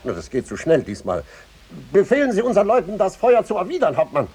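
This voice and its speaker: Husky, animated, blustering colonel. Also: male voice, sits high, fast talker, full character voice. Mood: panicked bluster. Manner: blustery, stammering, officious. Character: blustering, dithering male colonel, self-important family man. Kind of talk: 1950s German radio satire acting.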